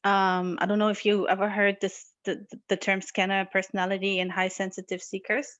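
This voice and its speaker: A young woman from Morocco, guest on an interview over a video call, talking in a lively, casual way with headphones on. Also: mid-range voice, fairly quick, no echo, lo-fi sound. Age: young adult. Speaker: guest entrepreneur and artist.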